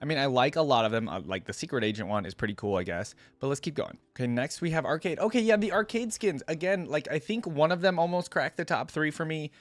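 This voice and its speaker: A man hosting a reaction video, chatting informally and slangily as he thinks out loud. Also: a little high, expressive, fast, few pauses, no echo. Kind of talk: casual reaction commentary.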